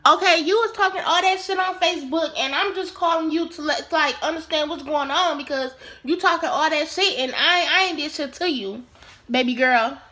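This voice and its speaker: A young American woman chatting casually in an animated, brash way, swearing heavily as she banters with friends. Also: sits high, normal speed, reverberant. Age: young adult. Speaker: vlogger. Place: small home room.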